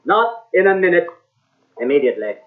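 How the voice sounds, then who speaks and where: A man's voice: high-pitched, big pitch swings, brisk. An actor playing a curt, composed, commanding man in a vintage radio drama. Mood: cold. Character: cool, commanding male assassin actor John Wilkes Booth. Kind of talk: 1940s radio acting. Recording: tinny old radio transfer from cassette.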